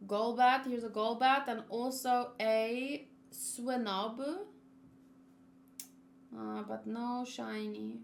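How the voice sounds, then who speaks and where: A woman's voice: on the high side, little pitch movement, takes it slowly, gravelly, a little hiss or hum. A woman chatting informally on a gaming livestream, reacting aloud as she talks. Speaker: streamer. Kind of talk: casual livestream chat while gaming.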